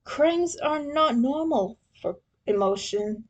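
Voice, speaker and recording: A woman's voice: sits high, expressive, slow, pitched above the performer's own voice. A woman voicing a proud, hostile male alien warlord in armor, speaking in a haughty, stilted and curt manner. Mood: irritated.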